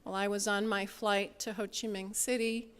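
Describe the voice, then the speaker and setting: Lady introducing a conference speaker, kinda formal and measured, crisp clean voice, big echoey hall. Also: medium pitch, flat delivery. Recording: reverberant hall sound.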